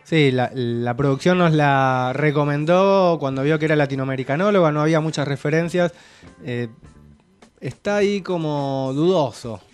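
An Argentine man hosting radio comedy from a studio microphone, his voice a little high. He is dry and hesitant, feigning doubt about his guests. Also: moderate pace, smooth, soft background music. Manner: dry, hesitant, mock-suspicious. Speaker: radio host. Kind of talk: comic radio banter.

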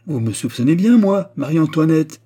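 Man voicing an imperious queen, raised pitch. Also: animated, a little fast, dark tone, breathy edge. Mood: haughty. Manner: imperious, scornful, mocking rhetorical questions. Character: haughty, imperious queen, indignant and cutting.

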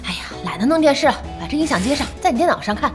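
A woman playing an excitable, bossy young boss and fanatical esports fan in an audio drama, impatient and exclamatory. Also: sits high, rapid-fire. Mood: grumbling. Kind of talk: audio drama acting, conversational. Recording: music behind the voice.

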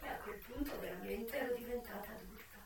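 A woman's voice: mid-range voice, a little fast, vocal fry, voice pushed down, lightly acted. Worldly madam narrator , woman performer, intense theatrical memoir, frank and sensual, distant and heavily echoing. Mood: hushed, reflective. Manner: dramatic, intense, swinging from hushed to loud. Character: worldly American madam recalling her youth, frank, sensual. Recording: echoey, distant stage recording with music.

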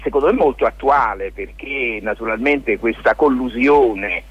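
A man giving a spontaneous radio interview as a guest, speaking haltingly and often circling back to check he is understood. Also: medium pitch, breathy edge, highs cut off. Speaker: lawyer and stage author, interview guest.

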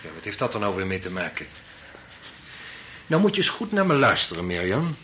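An actor playing a weary, sensible doctor husband in a Dutch radio play, rough-voiced, dismissive and patronising as he reasons things away. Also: on the low side, big pitch swings, a little fast, fairly bright tone, a little hiss or hum. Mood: firm, lecturing. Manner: dismissive, reasoning, sighing interjections.

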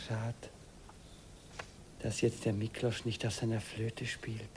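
Earnest, light-voiced young student. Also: male voice, fairly low pitch, monotone, takes it slowly. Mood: hushed, tender. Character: earnest, anxious young German male student in love. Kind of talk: old radio play acting. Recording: slightly noisy old radio recording.

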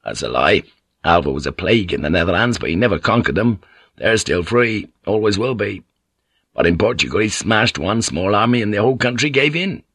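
A man's voice: fairly low pitch, lively intonation, brisk, a bit bright, husky, dry recording, clean studio sound, heavily acted. Man voicing a bold, defiant ship's pilot. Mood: scornful, defiant. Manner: blunt, provoking. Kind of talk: dramatised audiobook dialogue.

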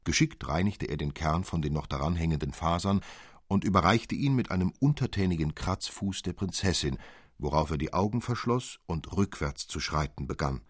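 Male storyteller, low-pitched, measured and expressive, literary fairy tale, very dry close recording. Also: slightly rough, no echo. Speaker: narrator.

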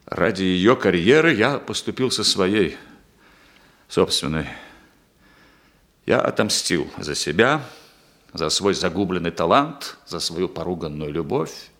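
Bitter obsessive killer confessing revenge, radio drama, big swings in pitch, roomy echo. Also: male voice, sing-song, a little slow, long pauses, a bit bright, heavily acted. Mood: bitter, broken. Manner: dramatic, impassioned, anguished delivery. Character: embittered male actor, obsessive lovesick murderer confessing his revenge. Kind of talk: theatrical radio play monologue.

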